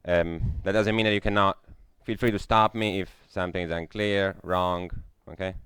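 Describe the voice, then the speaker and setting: A young man with an Italian accent gives a technical talk in a lecture room, pausing often on "uh" and restarting his sentences. His voice sits low and deep and comes through smooth and clear. The sound is close and dry, with little room in it. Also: steady tone, a bit bright, dry recording. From Italy. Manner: hesitant, many fillers and restarts. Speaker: conference speaker.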